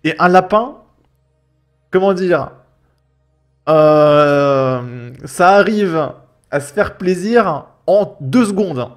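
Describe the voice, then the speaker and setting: A man with a French accent and a fairly high voice reacts to a video in loose, colloquial speech. He is mocking and emphatic as he comments. Music plays softly behind him. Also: lively intonation, drawn-out words, pauses often. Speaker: host of a reaction video channel. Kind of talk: casual spoken commentary on a video. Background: background music.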